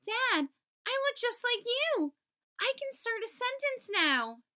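A woman's voice: very high voice, sing-song, slow pace, bright, forceful tone, pitched above the performer's own voice, full character voice. A woman voicing a childlike, earnest little letter boy in a children's storybook. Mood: proud, excited. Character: little lowercase letter boy, upset then proudly excited. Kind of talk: children's storybook read-aloud.

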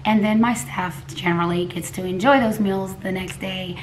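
Hoarse, rambling woman vlogger. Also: mid-range voice, fairly even intonation, normal speed, slight background noise, low-quality recording. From Texas, USA. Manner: chatty and rambling, often restarts, coughs and clears throat. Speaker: cooking vlogger. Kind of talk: casual vlog talk to camera. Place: living room at home, indoors. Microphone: camera a few feet away at a table.

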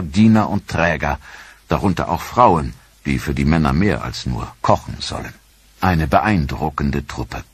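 A man narrating a history documentary in a measured, dramatic storytelling delivery, recorded as a studio voice-over. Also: low voice, breathy edge, no room sound.

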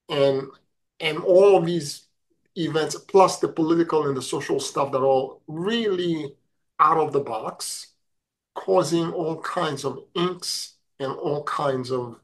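A man's voice: fairly high pitch, fairly bright tone, low-quality recording. A middle-aged man teaching on spiritual practice over a video call, sounding nasal from a head cold, with frequent fillers and restarts. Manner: slow and deliberate, many fillers and restarts. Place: home room with a virtual background. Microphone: webcam video call.

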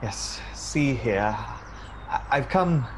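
An awkward gentleman messenger in a radio drama. He talks slowly in a medium-pitched voice, polite and hesitant, doubling back on his words. Clear noise sits behind him. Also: lively intonation. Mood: uneasy, formal. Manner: hesitant, polite, repeating himself. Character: awkward, reluctant, formal gentleman messenger bearing news.

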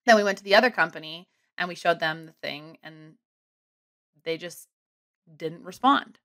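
A woman in her thirties telling a long story casually on a podcast from a small home room, animated and rambling. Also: on the low side, normal speed. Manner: animated, rambling, self-deprecating. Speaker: guest comedian and ski content creator. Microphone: studio mic close up with headphones.

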